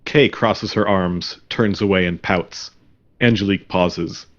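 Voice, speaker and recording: A man reading scripted stage directions as a deadpan narrator. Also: deep voice, unhurried, lo-fi sound.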